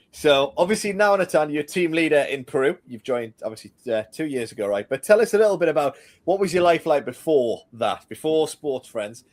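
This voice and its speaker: A male podcast host with a mid-range voice and an upbeat, punchy delivery. He welcomes his guest and sums up answers with eager enthusiasm. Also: medium pitch.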